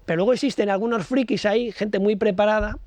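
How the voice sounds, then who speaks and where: Male guest expert, higher-pitched, hesitant explainer, dry studio mic. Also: fairly even intonation, quick pace, darkish tone, no echo. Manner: hesitant, many "eh" fillers, explains step by step. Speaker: guest financial educator. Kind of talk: explanatory radio talk. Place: radio studio.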